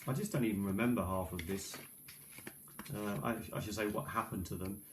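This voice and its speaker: A man's voice: on the low side, fairly even intonation, fairly quick. A middle-aged man giving a casual, rambling show-and-tell at a kitchen table, hesitant and musing aloud, recorded on an old tablet's microphone. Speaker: video maker presenting old cassette tapes. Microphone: built-in microphone of an ageing iPad. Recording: clearly noisy tablet recording with clicks.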